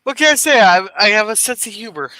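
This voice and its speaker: A man hosting a casual live stream chat, laughing often and talking loudly. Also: sits high, animated, quick pace, no echo. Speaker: stream host.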